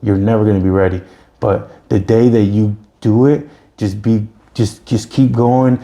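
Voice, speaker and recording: A man's voice: on the low side, steady tone, normal speed, mellow. A man in his forties hosting a podcast, giving an earnest, repetitive pep talk in casual chat. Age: 40s. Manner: earnest, repeats himself, pep-talk style. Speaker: podcast host.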